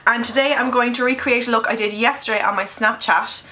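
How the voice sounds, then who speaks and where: Bright, chatty young woman, a bit nasal. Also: fairly high pitch, steady tone, brisk. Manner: candid, swears casually. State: nasal from a cold. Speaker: beauty vlogger. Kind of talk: chatty makeup tutorial. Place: small bedroom at home.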